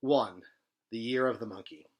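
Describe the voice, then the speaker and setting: A man's voice: mid-range voice, moderate pace, a little hiss or hum, close to an everyday voice. Man reading as a hip, emphatic storyteller. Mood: brash, declaring. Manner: emphatic, slangy, driving. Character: hip, punchy 1968 storyteller posing as news anchor. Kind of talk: dramatic reading of literary fiction.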